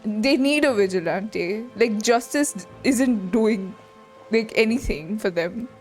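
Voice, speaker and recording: A young woman streamer giving an emotional, halting, informal live reaction to a video game. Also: mid-range voice, lively intonation. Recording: game music behind.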